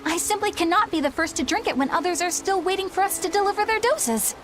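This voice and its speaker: A woman's voice: very high-pitched, quick pace, faint music behind. A woman playing a proper, dutiful and stubborn young female warrior in a video game, with formal, earnest speech. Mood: prim, defensive. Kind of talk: English video game voice acting.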